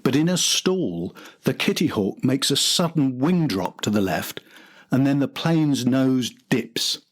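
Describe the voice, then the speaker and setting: A man's voice: mid-range voice, lively intonation, a little slow. An older man narrating a documentary from a script.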